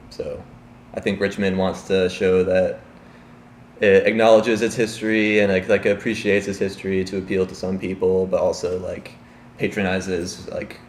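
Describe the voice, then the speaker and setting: Deep-voiced young man, hesitant. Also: echoey room, a little hiss or hum. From Chicago, Illinois. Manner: hesitant, many fillers. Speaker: interviewee. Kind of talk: casual street interview.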